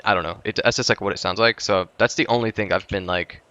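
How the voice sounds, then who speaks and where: A man's voice: fairly low pitch, fairly even intonation, fast talker, sharp tone, clear, resonant tone, no echo, muffled recording. A young man with a scratchy voice, chatting hesitantly with lots of fillers on a live stream. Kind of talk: casual live-stream chat.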